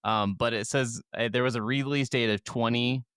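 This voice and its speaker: A man's voice: mid-range voice, fairly even intonation, normal speed, a bit bright, smooth, dry recording, studio quality. A man co-hosting a gaming podcast, talking casually. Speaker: podcast co-host.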